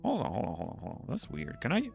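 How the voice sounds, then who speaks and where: A man with a deep voice, English not his first language, chatting casually on a livestream as he draws, rambling, muttering to himself and swearing now and then. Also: wildly swooping pitch, fast talker, creaky, darkish tone, soft background music. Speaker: streamer. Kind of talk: casual livestream chat while drawing.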